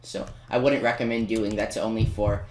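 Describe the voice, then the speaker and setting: A man's voice: on the low side, flat delivery, moderate pace, a little mellow. A man giving casual, self-mocking and playfully boastful commentary over his own gameplay. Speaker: gaming video maker. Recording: roomy, noisy home recording with keyboard clicks.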